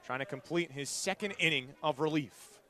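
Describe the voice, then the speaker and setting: Guy calling a game live, high bright voice, short punchy phrases, crowd noise behind him. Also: moderate pace. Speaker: play-by-play sports announcer. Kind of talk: live sports commentary. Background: outdoor ballpark crowd.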